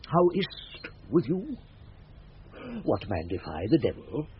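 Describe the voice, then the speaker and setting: Roguish blustering knight, English accent, slow mock-solemn coaxing, wildly swooping pitch, muffled noisy vintage radio play. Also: male voice, huge pitch swings. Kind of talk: classic British radio Shakespeare acting.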